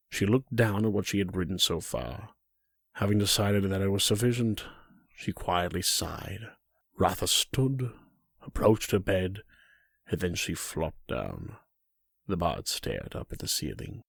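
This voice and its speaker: A man playing the storybook narrator of a fantasy tale, reading scripted narration in a measured way. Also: low voice, expressive, creaky, dry recording, clean studio sound, full character voice. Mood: calm.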